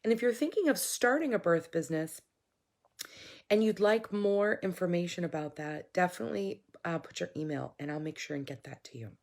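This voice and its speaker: Hoarse midwife hosting a live chat. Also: female voice, fairly low pitch, expressive, brisk, darkish tone. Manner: chatty, frank, coaching tone with fillers. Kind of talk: casual live-stream talk.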